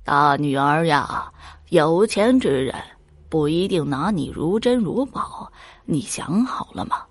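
A worried, wise old mother from a storybook folk tale, played by a woman narrator. She speaks in a fairly low voice, weary and admonishing, with the air of someone sighing over her children. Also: lively intonation, a little slow. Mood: sighing warning.

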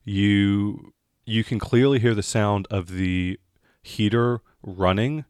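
A man's voice: lowish voice, steady tone, slow, darkish tone, smooth, no room sound, studio quality. A man acting as game master, improvising narration for a tabletop mystery game and fielding the players' questions.

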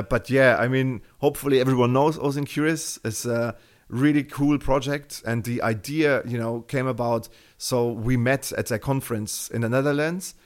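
German-accented man, rambling guest. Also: mid-range voice. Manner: rambling with many fillers and restarts. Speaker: podcast guest. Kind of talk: casual interview talk.

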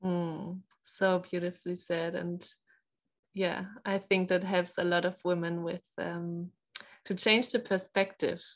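Slow-speaking woman interview host. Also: on the low side, level tone. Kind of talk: interview conversation.

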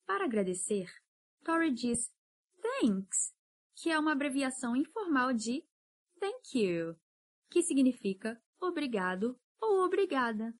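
A woman teaching English pronunciation on a podcast, patient and encouraging as she drills words slowly. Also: sits high, very animated.

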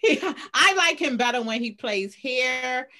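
A woman co-host on a casual live online panel who speaks loudly and playfully and says she has a big voice. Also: sits high, expressive, unhurried.

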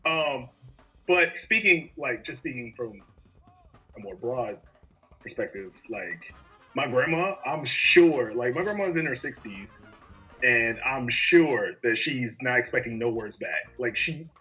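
A man's voice: mid-range voice, lively intonation, a little slow, sharp tone, soft background music, rough recording. A young man chatting as co-host, outspoken and slangy. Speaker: podcast co-host. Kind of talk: casual chat.

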